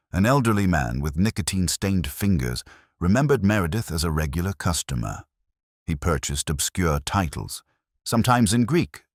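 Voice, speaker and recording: A man with an extremely low voice reads a detective story in the first person. His delivery is measured and literary, with lively rises and falls in pitch. The sound is dry and close, with very little room on it. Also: breathy edge, dry recording. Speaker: narrator.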